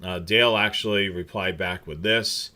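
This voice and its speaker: A man's voice: low voice, fairly even intonation, moderate pace, pushed and bright. A man hosting a casual livestream chat, rambling with many fillers and restarts. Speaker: scanner show host.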